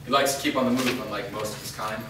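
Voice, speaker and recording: A man's voice: fairly low pitch, fairly even intonation, fast, natural voice. A man playing a knowing, matter-of-fact traveller in a staged reading. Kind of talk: staged reading of a drama script. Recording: noisy, very echoey hall from far off.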